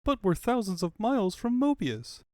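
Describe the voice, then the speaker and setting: Man doing an earnest young heroine, lively pitch. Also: normal speed, no echo, studio quality. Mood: worried. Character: earnest, resolute young female freedom fighter leader. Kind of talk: comic book fandub acting.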